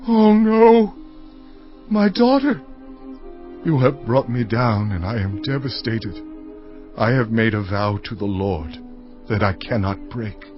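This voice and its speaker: Proud, dramatic ancient war leader over music. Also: male voice, mid-range voice, expressive, slow pace, long pauses, muffled recording. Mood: anguished. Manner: declamatory, rhetorical, emphatic. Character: Jephthah, proud aggrieved Israelite war leader, anguished father. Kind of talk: dramatized audio Bible acting.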